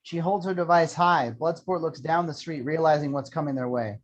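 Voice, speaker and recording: A man's voice: a little high, normal speed. A man reading screenplay action lines as scripted narration at an amateur table read. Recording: dull lo-fi sound.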